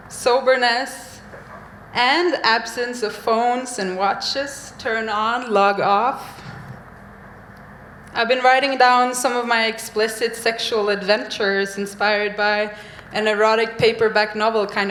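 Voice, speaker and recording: A young woman from Norway, performing spoken-word poetry on a mic at a live venue, with slow, deliberate pauses. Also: on the high side, a bit bright, slight background noise. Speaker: poet. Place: live event venue, indoors. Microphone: stage microphone. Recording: echoey room, faint ambience.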